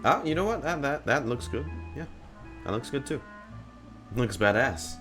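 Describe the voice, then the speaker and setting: A man's voice: mid-range voice, expressive, normal speed, fairly bright tone, faint music behind. A man casually reacting to a video game as a streamer, playfully talking back to the characters.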